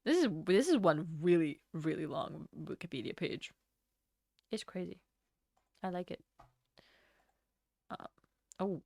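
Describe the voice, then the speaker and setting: A woman's voice: lowish voice, animated, measured pace, gravelly, pauses often, mellow, no room sound. Chatty young woman streamer, dry sound. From Latvia. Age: young adult. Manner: chatty and rambling, swears freely. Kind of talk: casual live-stream chat.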